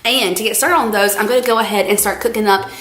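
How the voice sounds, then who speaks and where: A young woman with a cold-roughened, scratchy voice, chatting brightly through a home cooking tutorial. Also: mid-range voice, fast. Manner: chatty, bubbly, peppered with "like". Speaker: cooking vlogger. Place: home kitchen.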